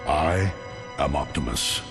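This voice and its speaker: An actor playing a noble male robot leader, calm and commanding, in a video game cutscene. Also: exaggerated voice. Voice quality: deep gravelly resonant. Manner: measured, authoritative. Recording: loud game music behind.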